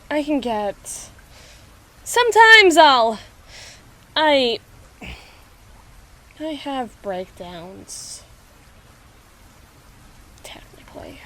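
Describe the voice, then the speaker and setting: A woman playing a proud, anxious, flustered schoolgirl in an ASMR audio roleplay, speaking in halting, formal phrases. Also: on the high side, a bit bright. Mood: anxious confession. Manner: halting, prim, formal phrasing with trailing restarts.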